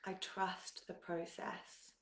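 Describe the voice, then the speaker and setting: A woman leading a guided meditation in a calm, soothing manner, giving gentle instructions with long pauses. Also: roomy sound.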